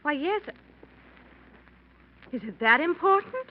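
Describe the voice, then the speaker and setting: An actress playing an affectionate, chatty, well-bred wife in an old radio mystery. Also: female voice, high-pitched, big pitch swings, takes it slowly, exaggerated voice. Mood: puzzled. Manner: fond, chatty. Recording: muffled, hissy old radio recording.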